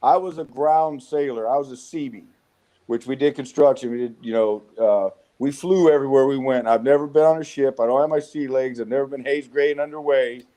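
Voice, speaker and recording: A man in his forties with a Southwest American accent, telling rough, profane stories of his youth as an interview guest. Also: mid-range voice, brisk, warm tone, low-quality recording. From United States. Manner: rough-spoken, swearing, frequent restarts. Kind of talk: casual storytelling interview.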